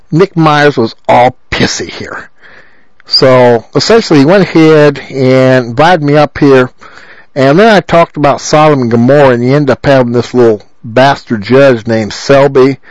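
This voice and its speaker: A man with a loud voice, a political candidate telling a long rambling story with many repeats and fillers. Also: medium pitch, dry recording, low-quality recording. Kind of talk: rambling monologue.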